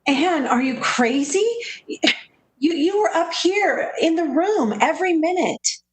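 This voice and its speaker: A woman's voice: sits high, animated, slow. A woman playing a worried, practical, protective friend in a 1940s-style radio drama, with an urgent, firm, theatrical way of speaking. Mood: disbelieving.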